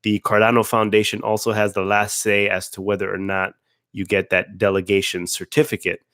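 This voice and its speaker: Raspy man chatting on a livestream. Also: lowish voice, monotone, fairly quick, fairly bright tone, no room sound, clean studio sound. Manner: chatty, greets viewers by name, restarts words. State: hoarse from a cold. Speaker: livestream host. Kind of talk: casual livestream chat.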